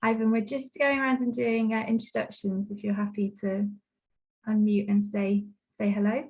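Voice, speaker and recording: Flat-voiced woman hosting a call. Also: mid-range voice, moderate pace. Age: 30s. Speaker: webinar host. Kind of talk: video call webinar. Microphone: video call. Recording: dull lo-fi call audio.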